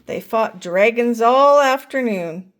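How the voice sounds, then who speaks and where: An older woman reading a picture book aloud to children, gently asking them questions along the way. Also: on the high side, lively intonation, slow, a little hiss or hum. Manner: gentle, asks listeners questions. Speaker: storyteller grandmother.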